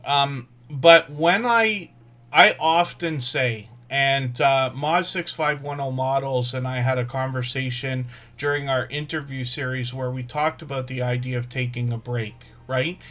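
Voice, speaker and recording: A man's voice: medium pitch, unhurried, bright, forceful tone. A man hosting a livestream for small creators, talking casually and at length, with many fillers and self-corrections. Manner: rambling, filler-heavy, restarts and self-corrections.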